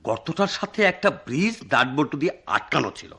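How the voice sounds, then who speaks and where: Animated high-voiced comic storyteller, man. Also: fast talker, gravelly, breathy edge, heavily acted. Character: excitable male storyteller telling an absurd tall tale. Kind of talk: theatrical Bengali radio drama acting.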